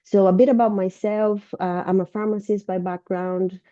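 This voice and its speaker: A woman's voice: mid-range voice, fairly even intonation, normal speed, dark tone, no room sound. A woman with a Brazilian accent, sick and sounding a bit off, giving an informal presentation as a researcher over a video call. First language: Portuguese. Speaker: university researcher and lecturer presenting. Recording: dull, muffled video-call audio.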